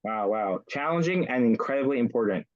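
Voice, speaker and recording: A man hosting an online community interview over a video call. He talks in a halting way, with many fillers and restarts. His audio sounds dull and lo-fi, like a low-quality call. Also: lively intonation. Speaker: rabbi, host.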